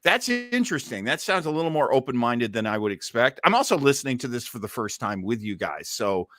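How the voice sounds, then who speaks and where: A man's voice: medium pitch, very animated, fairly quick, fairly bright tone, no echo, clean studio sound. A man hosting a casual live group commentary, jokey and self-deprecating. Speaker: host of a listening party.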